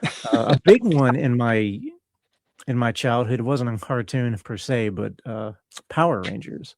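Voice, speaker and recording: A middle-aged man chatting casually as an interview guest, thoughtful and halting as he searches for words. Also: medium pitch, darkish tone. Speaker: interview guest, composer and audio engineer. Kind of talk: casual reflective chat.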